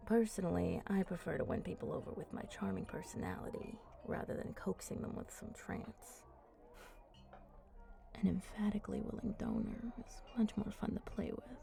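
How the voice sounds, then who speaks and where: A woman playing a flirty, confident vampire in an intimate ASMR roleplay, talking softly and playfully up close and often dropping into breathy murmurs. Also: medium pitch, huge pitch swings, normal speed, over music, exaggerated voice. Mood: confiding, flirty. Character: flirty, teasing, confident female vampire at a bar. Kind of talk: ASMR roleplay, intimate one-sided chat.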